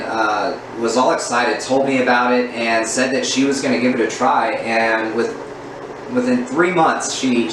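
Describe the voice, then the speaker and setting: Earnest man in a very echoey room. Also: medium pitch. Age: 30s. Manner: earnest, candid, unhurried storytelling. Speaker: vlogger. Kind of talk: personal story vlog. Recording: very echoey room, distant mic, steady background hum.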